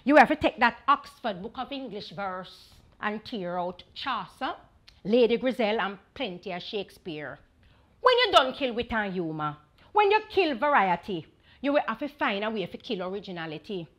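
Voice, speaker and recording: A woman's voice: mid-range voice, very animated, normal speed, fairly bright tone. A woman performing an indignant, witty Jamaican dialect defender in a dramatic poem recitation, emphatic and mocking.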